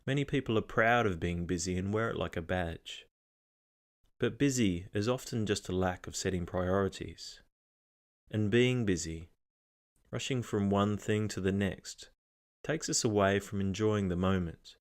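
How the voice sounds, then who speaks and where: Male meditation guide, deep register, gentle and measured scripted narration. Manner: calm, measured, gently instructing.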